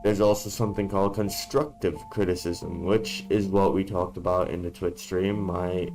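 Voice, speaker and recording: A man with a very low voice talks straight to camera, blunt and given to casual swearing. His voice sounds worn from being sick, and music plays clearly behind him. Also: level tone, moderate pace, rough recording. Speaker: YouTuber. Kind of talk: casual talking-head vlog. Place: dark room indoors.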